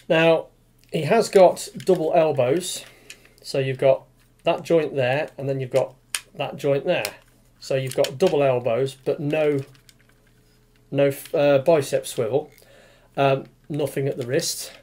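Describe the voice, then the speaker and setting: A man's voice: mid-range voice, fairly even intonation, slow pace, pauses often, darkish tone, a little hiss or hum. A man, casually reviewing a toy in a small room, rambling with lots of fillers and restarts. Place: small room with display cabinet, indoors.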